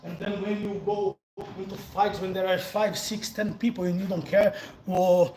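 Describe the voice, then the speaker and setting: A Brazilian-accented man in his forties talks casually to his viewers, swinging from old stories to answering their comments. His voice sits high for a man. He is picked up by a phone lying on the floor of a wide warehouse, with faint background noise. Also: steady tone, moderate pace, darkish tone, poor audio. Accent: Brazilian Portuguese. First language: Portuguese. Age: 40s. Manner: storytelling, chatty, reacts to viewer comments. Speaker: vlogger. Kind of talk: casual livestream chat. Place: large open warehouse, indoors. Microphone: phone camera set on the floor.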